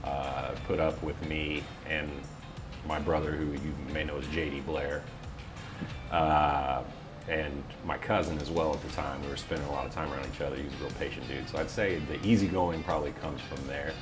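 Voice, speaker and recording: A man's voice: rumbling low, fairly even intonation, moderate pace, music in the background. A man who works in voiceover, chatting casually as an interview guest with a playful, wry manner. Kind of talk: casual chat.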